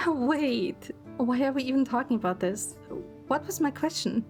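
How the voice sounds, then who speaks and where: Romantic game heroine: young woman, higher register, affectionate, inquisitive, lightly teasing, clear music bed. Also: expressive, a little slow, darkish tone. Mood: amused, puzzled. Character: young woman film producer, fond, gently teasing girlfriend. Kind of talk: romantic game audio drama acting.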